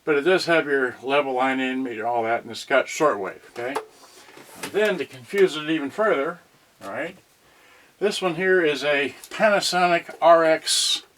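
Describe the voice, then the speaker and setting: A man's voice: on the high side, a bit bright. A man chatting off the cuff as a livestream host, scattered and folksy, often muttering asides to himself. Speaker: host of a home unboxing video about cassette radios. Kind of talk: rambling unscripted livestream chat. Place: cramped indoor space.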